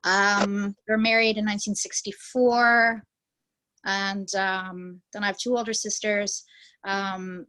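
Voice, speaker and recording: A woman's voice: mid-range voice, level tone, slow pace, bright, forceful tone, rough recording. An American woman chatting on a video call, talking hesitantly with many ums and restarts. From United States. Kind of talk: casual conversational reminiscing.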